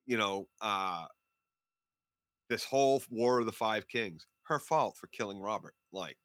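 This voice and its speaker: A man's voice: fairly low pitch, unhurried, a bit bright, no room sound, studio quality. A man co-hosting a casual fan podcast, blunt and emphatic, swearing constantly as he makes his points. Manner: blunt, heavily profane, emphatic. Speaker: podcast co-host.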